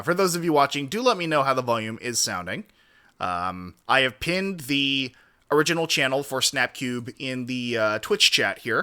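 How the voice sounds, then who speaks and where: A man's voice: mid-range voice, pushed and bright, smooth. A man hosting a reaction stream with casual, wordy commentary and mildly formal, roundabout turns of phrase.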